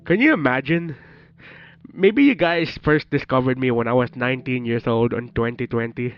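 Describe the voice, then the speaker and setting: A man's voice: mid-range voice, moderate pace, clear, resonant tone, no echo. A man in his twenties with a Filipino accent, a bit under the weather, chatting casually with his stream viewers, laughing often and teasing them with joking swears. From Philippines. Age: 20s. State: slightly sick. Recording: dull lo-fi stream audio with faint music.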